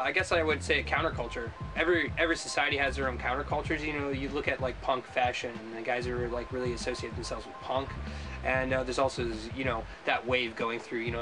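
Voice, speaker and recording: A man's voice: mid-range voice, steady tone, fast talker. A man in his twenties, a student giving informal interview answers. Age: 20s. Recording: music under the voice.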